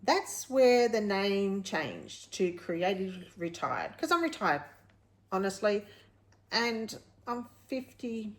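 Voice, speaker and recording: A woman's voice: medium pitch, very animated, takes it slowly. Chatty woman in her late fifties. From Australia. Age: late 50s. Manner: candid, rambling, self-correcting. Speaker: vlog host. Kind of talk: casual personal vlog, chatting to viewers.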